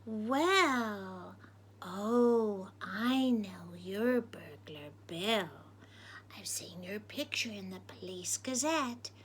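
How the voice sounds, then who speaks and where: A woman's voice: mid-range voice, animated, extremely slow, slight background noise, pitched below the performer's own voice. A woman voicing a cheeky, polite woman burglar in a storybook reading, chatty and genteel.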